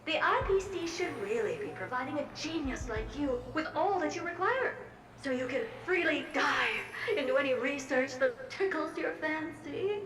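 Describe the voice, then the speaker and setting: High, theatrical, fawning magic mirror. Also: female voice, lively intonation, moderate pace, reverberant, recorded from a distance, over a noisy crowd. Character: flattering, theatrical talking magic mirror, eager servile assistant. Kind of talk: anime game voice acting.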